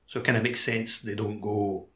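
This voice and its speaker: A man's voice: fairly low pitch, flat delivery, moderate pace, a bit bright. A man co-hosting a podcast, chatting casually about a video game in loose, informal phrasing. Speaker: podcast co-host.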